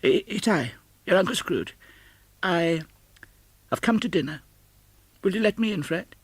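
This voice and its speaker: A man's voice: high voice, expressive, a little slow, husky, dry recording, pitched above the performer's own voice, full character voice. A man voicing an elderly reformed miser from a storybook, emphatic and exclamatory, by turns mock-stern, giddy and earnest. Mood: timid, hopeful. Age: older. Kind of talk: dramatised audiobook reading.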